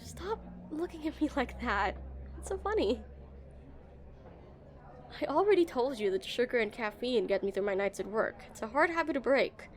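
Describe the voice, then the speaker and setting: A woman's voice: on the high side, sing-song, moderate pace. A woman playing an awkward, smitten game developer on a dinner date in a romantic audio roleplay, nervous and stammering. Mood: teasing, playful. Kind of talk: scripted romantic roleplay, spoken to the listener.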